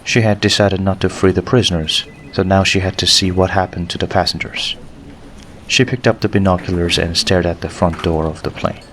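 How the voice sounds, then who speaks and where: Very deep-voiced male audiobook narrator. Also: fairly even intonation, brisk, a bit bright, slight background noise. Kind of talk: scripted story narration.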